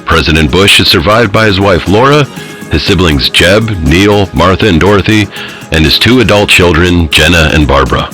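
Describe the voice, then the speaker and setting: An actor playing a formal morning news anchor in an audio drama, reading a bulletin in a polished, measured way. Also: male voice, sits low, lively intonation, sharp tone. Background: music.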